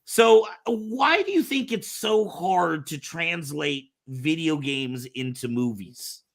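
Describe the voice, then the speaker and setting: A man's voice: fairly high pitch, lively intonation, takes it slowly, vocal fry. A man hosting a casual nerd-culture discussion, opinionated and stammering, often repeating words as he gets his point out. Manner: swears casually. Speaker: podcast host.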